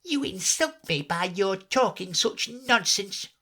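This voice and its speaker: A proud, touchy mouse speaking severely in a high, thin voice, as a man reads it. It snaps with clipped dignity and lively rises and falls. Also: slow pace, creaky, full character voice. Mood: indignant. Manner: severe, sharp.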